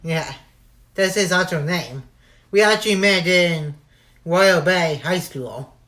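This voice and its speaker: Young guy on a call running his stream, talks slow, short answers. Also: sits high, sharp tone. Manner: short replies, unhurried. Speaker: live stream host. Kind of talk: casual chat on a live stream.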